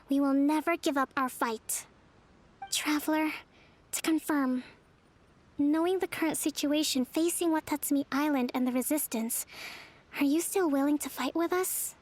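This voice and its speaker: A woman voicing a composed, devoted resistance army leader in a video game, speaking formally and in measured, articulate phrases. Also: high-pitched, close to an everyday voice. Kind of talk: scripted video game voice acting.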